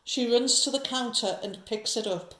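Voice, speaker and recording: A woman's voice: fairly high pitch, moderate pace, a bit bright, roomy sound, rough recording. A woman narrating a horror audio drama from a script, describing each scene.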